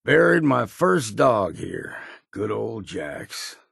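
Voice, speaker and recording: A grizzled old male hunter and guide for a video game. The voice is low and thick with rasp, close and dry. He talks in a folksy, laconic way, like a hard drinker who knows the wilds. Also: very animated, takes it slowly, fairly bright tone, dry recording, heavily acted. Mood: folksy, plainspoken. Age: older. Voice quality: gravelly, raspy. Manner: folksy, dropped endings, laconic. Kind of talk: video game character acting.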